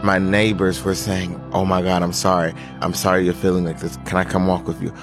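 A man's voice: low voice, little pitch movement, fairly quick. A man from Nashville telling his own story in the first person for a radio documentary. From Nashville, United States. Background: music bed.

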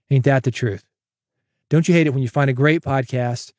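A man hosting a solo podcast, coaching listeners in an energetic, direct way on a broadcast mic. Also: mid-range voice, measured pace, no room sound, clean studio sound. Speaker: podcast host. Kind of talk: solo podcast advice with sponsor read. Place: home studio, processed dry voice. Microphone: studio broadcast dynamic mic.

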